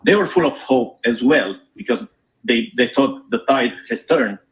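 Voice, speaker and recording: A middle-aged man from Romania, an investigative journalist, gives an earnest, measured talk at a forum with frequent filler pauses. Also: medium pitch, low-quality recording. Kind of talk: prepared public talk.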